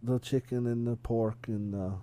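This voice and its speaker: A man from south Georgia with a Southern accent, co-hosting a casual talk show in a relaxed, joking, rambling way. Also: mid-range voice, moderate pace. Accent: Southern American. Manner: laid-back, joking, rambling. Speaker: co-host. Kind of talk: casual chat.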